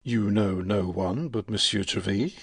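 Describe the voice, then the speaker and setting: An actor playing a proud, courteous, wounded musketeer with a formal, lordly manner in a dramatic audiobook. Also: male voice, lowish voice, moderate pace, husky, dry recording, clean studio sound. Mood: courteous, musing.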